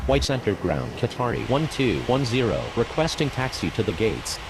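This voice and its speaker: A man's voice: very animated, normal speed. A man playing a procedural pilot and controller, speaking in formulaic aviation calls. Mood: businesslike. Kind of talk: scripted aviation radio phraseology. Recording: noisy, with vehicle rumble behind.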